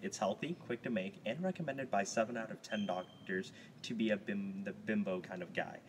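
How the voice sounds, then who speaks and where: A man playing a slangy 1920s radio pitchman, stumbling a little as he reads his ads. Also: moderate pace, in a large hall, natural voice. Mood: salesmanlike. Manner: salesmanlike, slangy, stumbles over script. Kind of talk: 1920s radio advertisement acting. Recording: noisy amateur recording.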